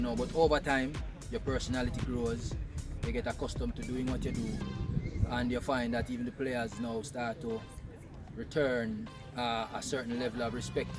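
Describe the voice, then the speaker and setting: A man, a football referee, giving a measured, reflective interview answer. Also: mid-range voice. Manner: measured, reflective, with some restarts.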